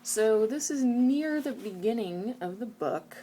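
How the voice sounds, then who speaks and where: A woman's voice: a little high, lively intonation, unhurried, dark tone, a little hiss or hum. A woman talking casually and reflectively in a personal vlog, candid and introspective, often qualifying what she says. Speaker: video blogger.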